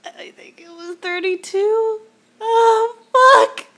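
A woman counting aloud in a roleplay, tearful and whimpering, with breathy laughs and gasps between counts. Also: very high-pitched, takes it slowly, a bit bright.

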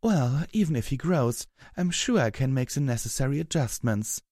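A man's voice: medium pitch, expressive, normal speed, darkish tone, no room sound, clean studio sound, voice pushed up. A man voicing a refined, self-assured female unicorn in an audiobook story, dainty and emphatic. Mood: breezy, assured.

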